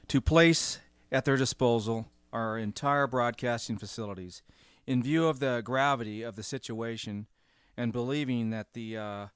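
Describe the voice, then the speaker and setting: An actor playing a radio network executive making a measured, official announcement in a radio drama. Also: male voice, medium pitch, smooth, dry recording, clean studio sound, lightly acted. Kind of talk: old-time radio formal announcement.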